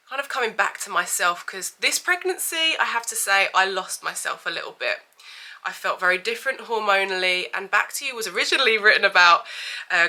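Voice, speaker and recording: A woman's voice: mid-range voice, sharp tone. A woman in her thirties with a cold and a blocked-up, sniffly nose, chatting candidly to camera as a vlogger. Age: 30s. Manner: chatty and candid, with frequent fillers. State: has a cold. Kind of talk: casual chat to camera.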